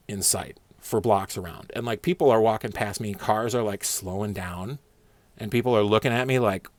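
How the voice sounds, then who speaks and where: A man's voice: on the low side, no room sound. A man telling a personal story in a casual, slangy, conversational way, with some swearing and acted dialogue.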